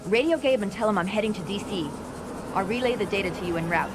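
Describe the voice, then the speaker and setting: A woman's voice: mid-range voice, lively intonation, fast talker, close to an everyday voice. An actress playing a resolute, tough female field agent in a video game, with clipped, firm, businesslike delivery. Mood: brisk, focused. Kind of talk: dramatic video game cutscene acting. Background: very loud music, vehicle noise.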